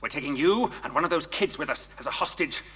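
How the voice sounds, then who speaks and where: A man's voice: a little high, animated, quick pace, fairly bright tone, long reverb tail. A man playing a menacing, bossy kidnapper with a gun in a children's audio drama, barking curt orders. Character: menacing male gunman and kidnapper, harsh and bossy.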